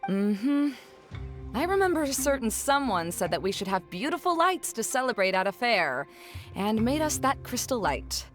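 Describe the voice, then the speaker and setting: Brisk, expressive scholar. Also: female voice, fairly high pitch, faint music behind. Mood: regretful, explaining. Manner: formal, matter-of-fact, blunt. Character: brisk, blunt, formal scholar woman running a fairground. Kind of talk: video game voice acting.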